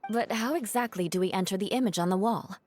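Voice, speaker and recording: A woman voicing a calm, caring, observant young woman in a video game, measured and considerate. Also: medium pitch, soft background music, lightly acted. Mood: curious.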